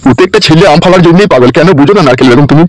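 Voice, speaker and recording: A man's voice: on the high side, animated, rapid-fire, natural voice, low-quality recording. A man voicing a lecherous, corrupt politician, crude and wheedling, in a comedy cartoon. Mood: lecherous, coaxing.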